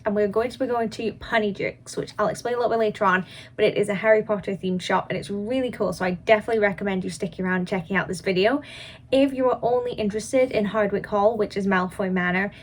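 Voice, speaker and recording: A woman with a mid-pitched voice talks to her viewers as a travel vlogger. Her delivery is casual and chatty. She speaks with plain enthusiasm about her trip.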